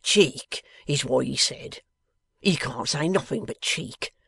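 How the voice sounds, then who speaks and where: A man's voice: medium pitch, lively intonation, takes it slowly, fairly bright tone, no echo, exaggerated voice. A man voicing a coarse, sly lock-keeper from a novel, growling with an insolent manner. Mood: sullen, angry. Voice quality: growling, gruff. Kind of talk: dramatised novel reading.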